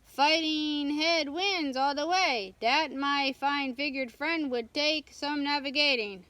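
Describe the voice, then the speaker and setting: Woman acting uneasy male ship's mate, storybook, high pitch, doubtful, grudgingly obedient. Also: takes it slowly, sharp tone, no echo, full character voice. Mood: skeptical. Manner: doubtful, sputtering. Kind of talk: children's storybook read-aloud.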